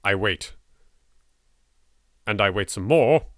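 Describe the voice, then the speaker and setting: A man's voice: lowish voice, animated, slow pace, a bit bright, no room sound, studio quality. A man with an English accent doing let's play commentary, reading game text dramatically and adding sweary, jittery, sardonic asides. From England. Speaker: video game let's player.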